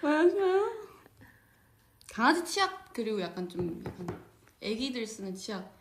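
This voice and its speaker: Brief: young female co-host from South Chungcheong, giggly storyteller, pitch all over the place, echoey room. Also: very animated, normal speed, slight background noise. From South Chungcheong, Korea. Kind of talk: casual chat. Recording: roomy echo.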